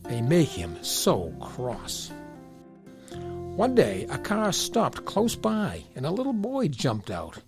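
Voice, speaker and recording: A man's voice: a little high, wildly swooping pitch, takes it slowly, creaky, over music. A man narrating a children's storybook tale as part of an audio drama.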